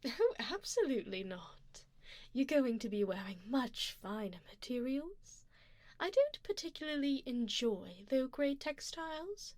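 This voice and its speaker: Woman doing a posh vampire duchess for asmr, soft n whispery, really slow, highish voice, doting but kinda predatory. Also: sing-song, no echo. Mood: poised, doting. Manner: refined, formal, gently commanding. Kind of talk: ASMR roleplay, soft intimate speech slipping into whispers.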